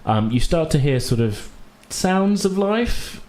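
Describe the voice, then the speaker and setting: A man acting as game master, narrating a fantasy tabletop game casually and haltingly as he searches for words. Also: on the high side, very animated, darkish tone, a little hiss or hum.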